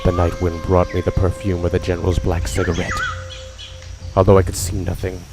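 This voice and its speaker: A man's voice: low-pitched, monotone, brisk. A man acting as a hunted fugitive, narrating his desperate flight in an intense, suspenseful radio drama style. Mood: hushed suspense. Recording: loud music and insects behind voice.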